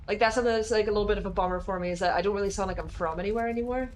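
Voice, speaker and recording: A woman's voice: medium pitch, rapid-fire, slight background noise. A young woman from Northern Ireland with a mixed Northern Irish and American accent, chatting casually with viewers while she plays a game. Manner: chatty and rambling, many fillers, swears casually. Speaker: streamer.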